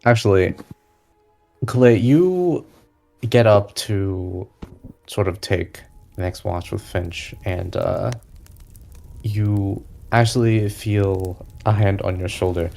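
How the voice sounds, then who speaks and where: A man narrating a tabletop roleplaying game as game master, in a halting, pausing way. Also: on the low side, gravelly. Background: soft background music.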